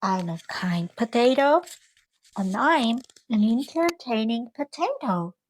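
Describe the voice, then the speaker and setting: A woman voicing an earnest, cheerful couch potato in a storybook, with expressive, drawn-out delivery. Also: fairly high pitch. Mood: proud.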